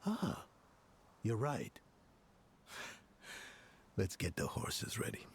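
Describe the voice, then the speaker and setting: An actor playing a weary, skeptical middle-aged sage in a dark fantasy game, halting and resigned, with a gravelly voice. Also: male voice, lowish voice, wildly swooping pitch, a little hiss or hum, full character voice. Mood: wistful.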